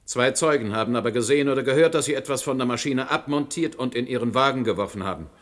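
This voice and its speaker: A stern, exacting judge acted by a man in a radio drama, with a male voice of medium pitch. He speaks with clipped, pedantic authority and cold logic. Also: fast talker, natural voice. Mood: probing, insistent. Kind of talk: German radio play acting.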